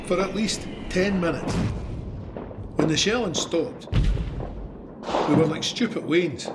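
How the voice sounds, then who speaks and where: Scottish soldier telling his war story, higher-voiced. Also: takes it slowly, very noisy. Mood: wry, rueful. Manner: conversational storytelling. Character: young Scottish soldier recounting trench warfare, candid and wry. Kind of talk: first-person audio drama narration.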